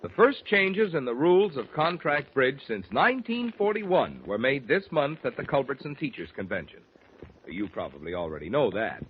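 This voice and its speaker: A man's voice: mid-range voice, very animated, moderate pace, a little hiss or hum. A man announcing in scripted 1940s radio style, reading sponsor messages and programme notes. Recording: dull 1940s radio recording. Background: faint music.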